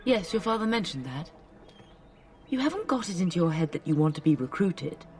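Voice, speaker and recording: An actress playing a middle-aged noblewoman mother, gentle but firm, in a video game. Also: on the low side, very animated, a little fast, far from the mic, slight background noise. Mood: gently firm.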